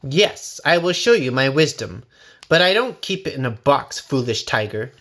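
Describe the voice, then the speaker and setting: A man's voice: medium pitch, sing-song, moderate pace, a bit bright. Man playing a shrewd, polite storybook farmer. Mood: triumphant. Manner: deferential, explanatory. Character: small male farmer, wary, polite and shrewd. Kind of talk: storybook character voice.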